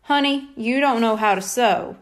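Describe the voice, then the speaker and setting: A woman's voice: fairly high pitch, normal speed, close to an everyday voice. A woman voicing a firm but affectionate mother of young boys, patient in manner, in a children's storybook.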